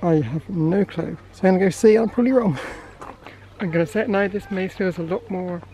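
A man's voice: high voice, a little fast, dark tone, poor audio. A man. He chats casually to a camera held at arm's length while out and about in the open air, as a vlogger does. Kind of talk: casual vlog chat to camera. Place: outdoors at a zoo farm. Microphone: handheld camera at arm's length.

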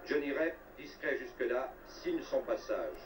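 A man's voice: medium pitch, big pitch swings, measured pace. A man narrating a scripted football report with dramatic, wry and literary phrasing. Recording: roomy, distant, noisy old TV soundtrack.

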